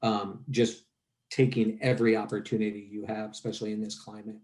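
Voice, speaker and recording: A man's voice: fairly low pitch, level tone, a little fast, darkish tone. A man moderating a webinar panel, affirming the guests and asking long questions.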